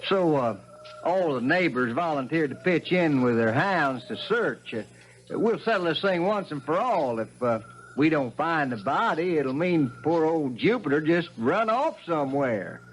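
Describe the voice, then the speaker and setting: An actor playing a scheming, falsely pious village villain with a folksy manner in a 1970s radio mystery drama. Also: male voice, a little high, lively intonation, unhurried, slight background noise, low-quality recording. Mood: slyly polite.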